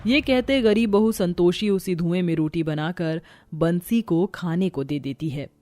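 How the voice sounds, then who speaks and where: A woman's voice: lowish voice, measured pace, darkish tone, dry recording. A woman reading scripted storybook narration of a moral tale.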